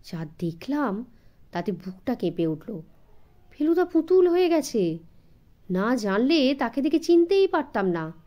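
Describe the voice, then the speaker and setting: A woman's voice: a little high, big pitch swings, unhurried, long pauses, dull audio. A woman, narrating a story expressively as an audiobook for children.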